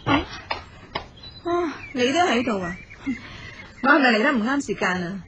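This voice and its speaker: An actress playing a warm, teasing female friend in a radio drama, lively in manner. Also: high voice, moderate pace, muffled recording, noisy background.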